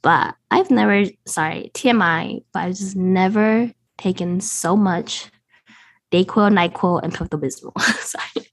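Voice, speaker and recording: A woman co-hosts a casual podcast with a stuffy nose from a recent cold. She jokes about herself in an easygoing way, at an ordinary pitch for a woman. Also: unhurried. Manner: joking, self-deprecating. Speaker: podcast co-host.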